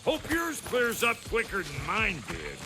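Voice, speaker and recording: An actor playing a crude, gruff, wisecracking dwarf smith in a video game, with a gravelly, folksy, slangy drawl. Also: male voice, sits high, huge pitch swings, measured pace, music in the background, heavily acted. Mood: earnest, blunt. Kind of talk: video game character acting.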